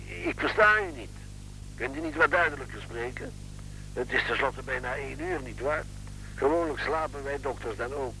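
Radio drama doctor: grumpy, very sharp voice, lively swooping pitch, faintly humming phone-like recording. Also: male voice, moderate pace, gravelly, a little hiss or hum, full character voice. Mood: irritated. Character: irritable doctor woken at night. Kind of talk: Dutch radio play acting.

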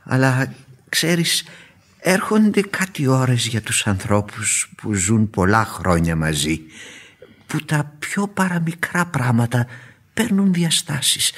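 Husky, fussy older husband. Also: male voice, mid-range voice. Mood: wistful. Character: fussy older husband, rose lover, long-suffering and indignant. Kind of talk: vintage Greek radio theatre acting.

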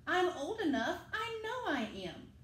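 A woman's voice: very high-pitched, very animated, unhurried, fairly bright tone, voice pushed up, heavily acted. A woman voicing an insistent, pleading small boy from a children's storybook. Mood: eager pleading. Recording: echoey room with faint noise.

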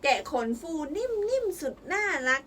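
A woman's voice: very high-pitched, very animated, a little slow, fairly bright tone. A young mother reading a picture book aloud with her child, asking encouraging sing-song questions. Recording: voice picked up from a distance with faint noise.

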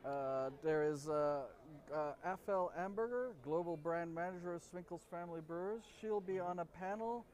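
A man in his forties with a slightly high voice co-hosts a loose, jokey chat. He trips over names as he reads from a prompter. He is recorded close to a camera set on a table in an open-air bar courtyard. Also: moderate pace, few pauses, darkish tone. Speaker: podcast co-host. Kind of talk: casual chat reading from a prompter. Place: outdoor courtyard of a city bar.

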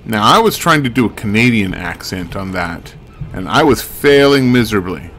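A man's voice: lowish voice, lively intonation, moderate pace, fairly bright tone, a little hiss or hum. A man giving casual, rambling video game commentary in a wry, musing way, thinking aloud. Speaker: game streamer.

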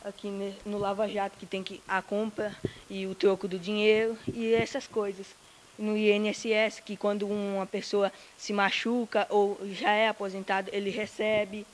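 A woman's voice: mid-range voice, flat delivery, moderate pace, slight background noise. A woman presenting a school project, listing examples one by one. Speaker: student presenter.

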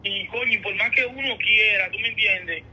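High-pitched, piercingly bright Dominican man on speakerphone. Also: moderate pace, slight background noise. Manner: hesitant, repeats words while explaining. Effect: heard over a phone speaker. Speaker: caller. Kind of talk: casual phone conversation, explaining himself. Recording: tinny, muffled phone call on speakerphone.

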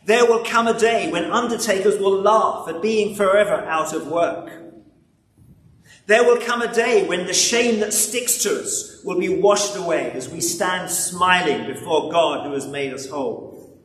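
A man in his seventies preaching a sermon in a solemn, measured, rhetorical way, pausing often. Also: high-pitched, fairly bright tone. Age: 70s. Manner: solemn, measured rhetorical delivery with pauses and repetition. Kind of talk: sermon with scripture reading. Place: large church interior, standing at a lectern. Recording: echoey hall, distant mic, background noise.

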